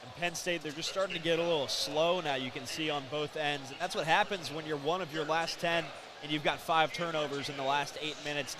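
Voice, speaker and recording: A man's voice: fairly high pitch, fairly even intonation, a little fast. An American man giving explanatory, emphatic live analysis as a basketball color commentator. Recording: noisy live arena broadcast. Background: basketball game ambience.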